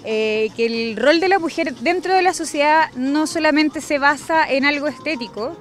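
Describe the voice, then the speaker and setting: A woman's voice: sits high, normal speed. A middle-aged woman with a Chilean accent giving an interview outdoors as an event organizer. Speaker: pageant organizer. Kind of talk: on-camera interview. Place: outdoors on a lawn. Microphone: handheld reporter microphone.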